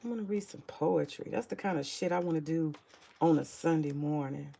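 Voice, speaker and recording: A woman with a deep morning voice chatting casually about her books, rambling, swearing freely and muttering to herself as she searches her shelves. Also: a little fast, creaky, warm tone, husky. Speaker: vlogger sharing her book collection. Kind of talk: casual home vlog chat.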